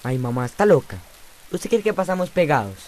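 A man's voice: fairly high pitch, big pitch swings, fairly quick, a little mellow, slight background noise. An actor, a man, playing a grumbling, exasperated schoolboy son with a Costa Rican accent in a radio drama. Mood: annoyed. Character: whiny, dismissive schoolboy son.

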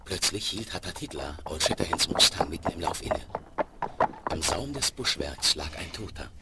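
A man narrating a German radio play in a solemn, dramatic storytelling style with a deep, husky voice. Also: expressive, normal speed. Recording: noisy vinyl rip, clicks.

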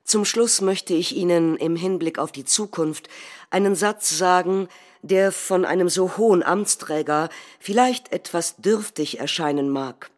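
A woman acting as a calculating nationalist head of government, delivering a measured, rhetorical political speech in a theatrical reading. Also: on the low side, darkish tone, lightly acted. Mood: measured, resolute. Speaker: stage actor.

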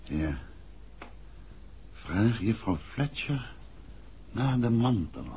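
An actor playing a composed, thoughtful gentleman detective, speaking in a measured, inquisitive way in a 1960s radio drama. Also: on the low side, sing-song, heavily acted. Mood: pensive. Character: composed, thoughtful gentleman amateur detective, middle-aged husband. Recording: dull, faintly noisy old radio recording.

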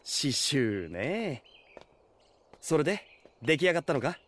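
An actor playing a casual, confident, teasing husband in a Japanese audio drama. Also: male voice, on the high side, sing-song, slow pace, a bit bright, exaggerated voice.

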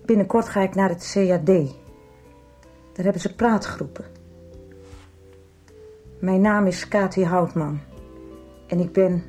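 An actress playing a troubled, haltingly candid woman in a radio soap. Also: medium pitch, steady tone, dark tone, soft background music, close to an everyday voice. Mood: confessional, halting. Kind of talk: 1990s Dutch radio soap dialogue.

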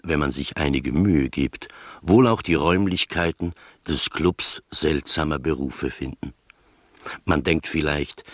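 A man playing the reflective narrator of an eccentric mystery tale in a radio drama, measured and deliberate. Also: very deep voice, no echo, clean studio sound. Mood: wry, musing.

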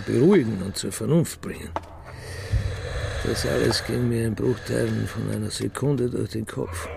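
An actor playing a distraught, bitter elderly father in a radio crime drama, halting and dropping into whispers. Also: lowish voice, noisy background. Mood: tense, shaken. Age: older. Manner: halting, breathy drops into whispering. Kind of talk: radio crime drama acting, monologue and dialogue.

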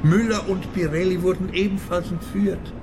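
A man's voice: high voice, steady tone, moderate pace, background noise. An actor playing a gloomy, self-pitying older businessman who muses and laments in a radio play. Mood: musing. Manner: musing, lamenting.

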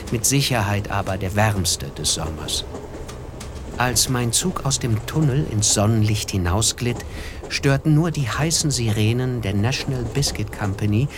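An actor playing a reflective, observant young gentleman narrating a literary German radio drama, with music behind him. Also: mid-range voice, noisy background, natural voice.

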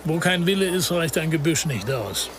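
A man playing a shrewd, unflappable police detective in a radio crime drama, explaining things in a measured, wry way. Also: on the high side, expressive, noisy background, lightly acted. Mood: dry, matter-of-fact. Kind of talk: naturalistic radio drama dialogue.

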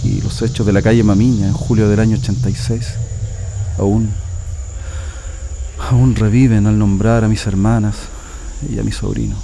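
A man's voice: on the low side, flat delivery, moderate pace, creaky, long pauses, warm tone, slight background noise. A Chilean man playing a former printing worker and resistance militant telling his story in a first-person radio drama. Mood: reflective. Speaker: narrator giving testimony in a radio drama.

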